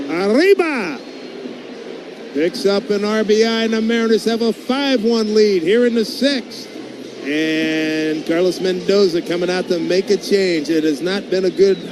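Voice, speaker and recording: A man doing live baseball play-by-play on radio, speaking in clipped phrases that can rise into excited calls. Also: high-pitched. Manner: clipped phrases, calls rising to excited shouts on big plays. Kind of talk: live sports play-by-play commentary. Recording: noisy live ballpark broadcast.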